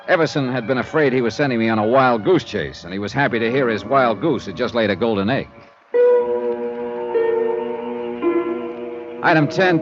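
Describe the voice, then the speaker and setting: A man's voice: mid-range voice, natural voice. An actor playing a wry, world-weary male insurance investigator who narrates his own case in a 1950s radio drama. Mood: dry. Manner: wry, laconic first-person narration. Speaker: narrator and lead character. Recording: dull old radio transcription.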